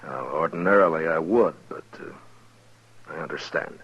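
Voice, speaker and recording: An actor playing a stern, steady frontier marshal in the style of a 1950s radio western. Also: male voice, extremely low pitch, moderate pace, slightly rough, exaggerated voice. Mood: measured. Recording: dull lo-fi old radio broadcast, some music.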